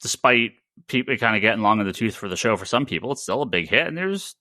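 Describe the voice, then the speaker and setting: A man co-hosting a casual panel discussion, talking haltingly and often stammering over repeated words. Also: lowish voice, expressive, sharp tone, no echo, studio quality. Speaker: podcast co-host.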